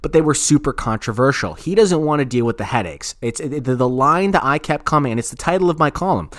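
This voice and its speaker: A man with a mid-pitched voice talks in a dry, close sound. He gives casual, opinionated podcast talk, circling back over his phrases as he explains things, with profanity mixed in. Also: lively intonation, fast talker, fairly bright tone, no echo. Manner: profane, repetitive, rambling explanations. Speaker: podcast co-host. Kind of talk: casual opinionated talk about sports radio.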